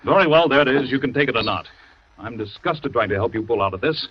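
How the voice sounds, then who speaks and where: An actor playing a husband who pushes a bitter poisoned tonic on his wife, falsely gentle and then bullying. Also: mid-range voice, lively intonation, quick pace, breathy edge. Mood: impatient bullying. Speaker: radio drama actor. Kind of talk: old-time radio acting. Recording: dry, dull old radio recording.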